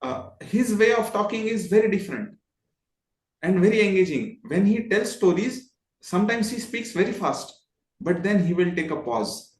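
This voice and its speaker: Indian-accented man, slightly high voice, expressive and polite explainer, roomy echoing sound. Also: moderate pace. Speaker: guest, voice modulation trainer. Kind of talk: conversational interview explanation.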